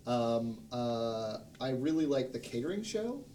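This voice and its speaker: A man's voice: very animated, measured pace, dark tone. A man chatting casually as a panelist on a group stream. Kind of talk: casual chat. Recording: distant mic, faint rumble.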